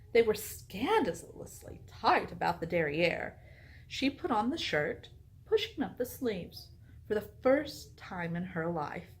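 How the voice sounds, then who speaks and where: A woman book vlogger chatting casually and reading aloud in an animated, expressive way. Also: mid-range voice, poor audio.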